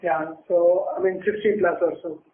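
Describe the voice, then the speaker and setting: A noisy, echoing phone line carries a man with an Indian accent and a high voice. He is a company executive fielding questions, plain-spoken and prone to repeating himself. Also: level tone, normal speed. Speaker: company executive answering analysts. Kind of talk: earnings call answers.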